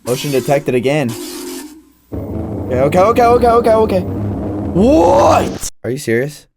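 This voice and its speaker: A man's voice: on the high side, sing-song, measured pace, creaky, over music. A man casually commenting as he reacts to horror videos, repeating short exclamations and phrases.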